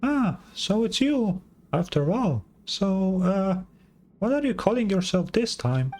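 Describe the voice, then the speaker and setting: A man's voice: sits high, very animated, takes it slowly, darkish tone, voice pushed up, full character voice. A man voicing a small, chatty, curious game companion with a cheeky, casual manner. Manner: casual, chirpy. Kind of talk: reading game dialogue aloud.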